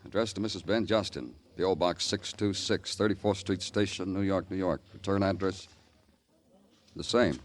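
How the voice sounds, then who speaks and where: An actor playing a terse, dry, world-weary homicide detective in a 1950s radio crime drama, speaking in clipped, businesslike lines. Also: male voice, deep voice, fairly even intonation, normal speed, lightly acted.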